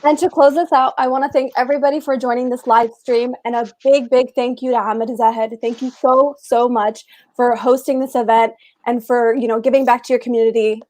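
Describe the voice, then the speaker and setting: Flat-voiced woman giving closing thanks. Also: a little high. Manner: earnest, thankful, speaks slowly. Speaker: event organizer closing the stream. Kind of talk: closing thanks and donation appeal.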